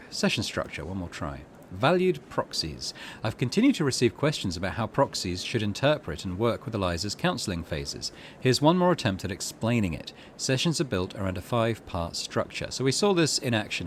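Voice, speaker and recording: A man narrates and comments on a story game as a channel host. His voice sits on the low side but moves in a very animated way. Musing asides break up the reading, over faint background ambience. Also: normal speed, slight background noise. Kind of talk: let's play commentary and reading game text aloud.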